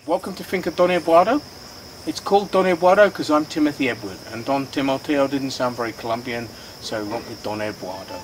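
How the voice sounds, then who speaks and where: A man's voice: mid-range voice, fairly quick, distant mic, background noise. A man guiding a tour of his coffee farm, patiently explaining step by step with the odd filler word, recorded outdoors. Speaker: coffee farm owner and guide.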